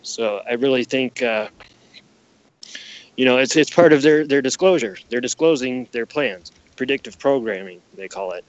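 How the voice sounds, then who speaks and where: A man's voice: medium pitch, moderate pace, dry recording. Emphatic male guest, dull lo-fi audio. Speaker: podcast guest. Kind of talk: casual explanatory interview talk.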